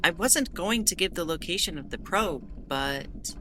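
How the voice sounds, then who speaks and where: A woman's voice: lowish voice, lively intonation, measured pace, background noise. A woman voicing a winged, four-armed female alien starship captain who speaks in an earnest, explanatory way. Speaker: ship captain. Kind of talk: audio drama narration.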